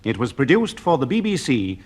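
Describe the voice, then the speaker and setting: A man's voice: medium pitch, sing-song, fairly quick. A man with a British accent making a scripted announcement in 1950s radio style. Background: music.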